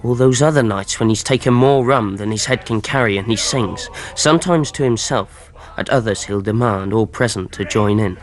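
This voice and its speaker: A man's voice: fairly low pitch, moderate pace, few pauses, lightly acted. An actor playing a rustic man, narrating his boyhood memories reflectively in a radio drama. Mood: haunted recollection. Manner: reflective, plain-spoken storytelling. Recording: soft music behind narration.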